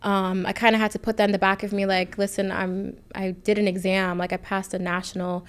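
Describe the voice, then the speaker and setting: A woman's voice: mid-range voice, little pitch movement, fairly quick, smooth. Candid, slangy woman in casual chat. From United States. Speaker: podcast co-host, nurse practitioner. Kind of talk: casual chat, personal storytelling.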